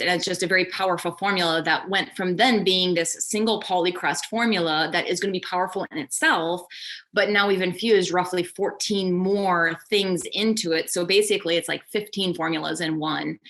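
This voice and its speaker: A woman's voice: lowish voice, fairly bright tone. A young adult woman, a company founder, giving a thorough step-by-step explanation as an interview guest.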